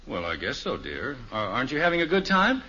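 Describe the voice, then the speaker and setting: Lively, wry, flustered radio dad. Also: male voice, medium pitch, a little fast. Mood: wry, easygoing. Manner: wry, excitable, exasperated when pressed. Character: wry, flustered suburban father and husband. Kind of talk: old-time radio family sitcom acting. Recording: muffled old radio recording, faint hiss.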